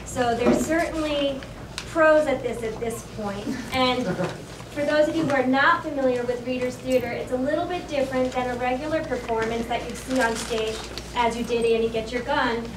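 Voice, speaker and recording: A woman's voice: high-pitched, normal speed. A woman teacher giving an informal, explanatory welcome speech to an audience, projecting her voice. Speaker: teacher introducing a children's reading performance. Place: crowded classroom, recorded from the audience. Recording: distant, echoey, noisy room recording.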